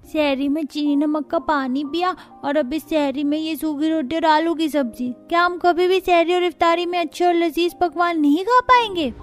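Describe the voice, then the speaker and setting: A woman's voice: sits high, moderate pace, hardly any pauses, soft background music, close to an everyday voice. A woman voicing a poor, plaintive young child in a cartoon story. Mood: sad complaining. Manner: plaintive, complaining. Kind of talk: Hindi cartoon story voice acting.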